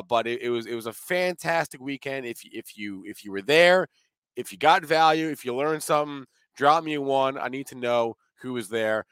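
Male host, hoarse, upbeat and chatty, lots of restarts, dry close sound. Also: medium pitch, a bit bright, no echo. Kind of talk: casual live show talk.

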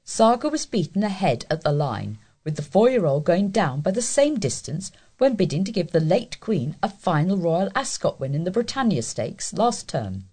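Husky woman reading lively news. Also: on the low side, moderate pace, few pauses. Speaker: volunteer newsreader for a local talking newspaper.

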